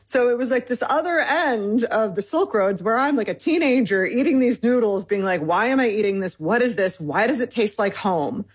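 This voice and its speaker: A woman's voice: on the high side, animated, moderate pace, few pauses, slightly rough. A woman in her forties from Michigan, an author being interviewed, talking casually with many fillers and restarts. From Michigan, United States. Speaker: cookbook author.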